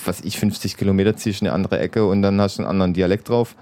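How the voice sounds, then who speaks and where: A man's voice: low voice, fairly even intonation, racing, warm tone. Laid-back man hosting a radio chat. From southern Germany. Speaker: host of an internet radio show. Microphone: home studio mic.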